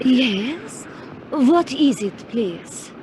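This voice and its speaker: A woman's voice: high voice, lively intonation, drawn-out words, darkish tone, a little hiss or hum, heavily acted. A woman playing a melodramatic, exotic femme fatale with formal, stilted phrasing in a noir audio drama. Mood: guarded.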